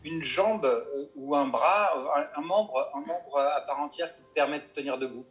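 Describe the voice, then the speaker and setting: A mountain guide answering interview questions, hesitant and full of restarts and fillers. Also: male voice, fairly high pitch, moderate pace, highs cut off. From Paris suburbs.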